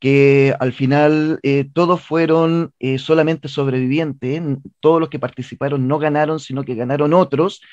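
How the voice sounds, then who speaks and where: A man's voice: a little high, steady tone, moderate pace, few pauses, a little mellow, no echo. A Chilean man speaking conversationally as an interview guest, often hesitating and repeating words as he searches for phrasing. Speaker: interview guest, theatre director and playwright. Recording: dull, band-limited, dry sound.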